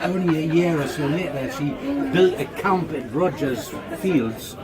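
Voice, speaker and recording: Casting: middle-aged dad reminiscing casually, slightly high-pitched male voice, clear chatter around him. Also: a little slow. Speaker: father telling family stories. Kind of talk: casual anecdote-telling.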